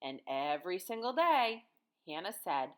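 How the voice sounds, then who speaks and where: A woman's voice: on the low side, sing-song, a little slow. An American woman in her thirties, a teacher reading and talking to young children in simple, guiding phrases. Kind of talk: picture-book read-aloud and lesson for children.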